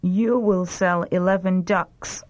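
A woman reading short prompt sentences slowly and clearly for a language drill. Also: fairly low pitch, fairly even intonation. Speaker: language lesson reader. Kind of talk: slow repeat-after-me language drill.

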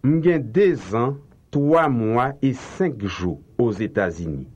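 A man gives careful, deliberate translations for learners to repeat. His pitch moves around a lot and he takes his time over every word. The recording sounds dull and lo-fi, like an old tape. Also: animated, darkish tone, breathy edge. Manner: slow, carefully enunciated translations. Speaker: language teacher. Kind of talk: slow repeat-after-me language drill.

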